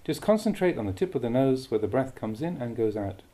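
A man reading a Buddhist meditation teaching aloud with measured, deliberate phrasing. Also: mid-range voice, very animated, mellow. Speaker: Buddhist monk reading a translated dhamma talk.

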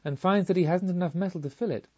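A man reading a spiritual novel as scripted narration, in a measured, literary storytelling manner. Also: sits high, mellow, muffled recording. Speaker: audiobook reader.

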